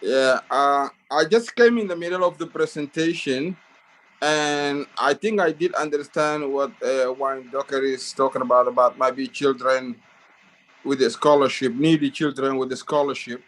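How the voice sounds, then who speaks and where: A man from Somalia speaking as a guest on a group video call, halting, with many fillers and restarts. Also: mid-range voice, fairly even intonation, a bit bright, rough recording.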